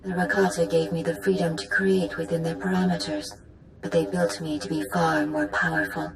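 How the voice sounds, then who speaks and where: A woman playing an ancient godlike machine mother in a video game, speaking in a measured, stately, maternal way, proud and tender. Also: lightly acted. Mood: solemn. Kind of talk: dramatic video game acting. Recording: roomy echo, faint rumbling noise.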